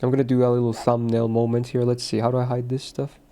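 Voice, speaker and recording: Mellow-voiced streamer, slight Finnish accent. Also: male voice, mid-range voice, completely flat delivery, quick pace, clear, resonant tone. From Finland. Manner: chatty, answers chat, self-aware asides. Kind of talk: casual game-stream commentary.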